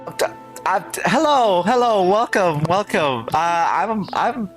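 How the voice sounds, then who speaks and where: A man's voice: high-pitched, slow. A man live-streaming a game playthrough with casual, exclamatory commentary, often repeating his words. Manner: animated, exclamatory, self-repeating. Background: game music.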